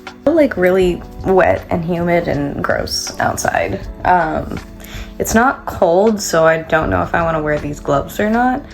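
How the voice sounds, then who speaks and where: A woman's voice: fairly low pitch, animated, measured pace. A woman vlogging in a casual, rambling way, stuffy and congested, with lots of fillers as she chats to the camera. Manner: rambling and chatty, many fillers. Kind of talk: casual travel vlog chat. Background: loud background music.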